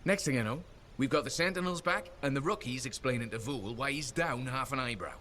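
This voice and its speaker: A man's voice: medium pitch, fairly quick, sharp tone, slight background noise, natural voice. A cheerful mercenary pal from a video game cutscene, as a man voices him. He has a mid-range voice and an upbeat, yarn-spinning way of talking. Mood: friendly. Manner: upbeat, storytelling. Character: friendly, chatty male mercenary buddy.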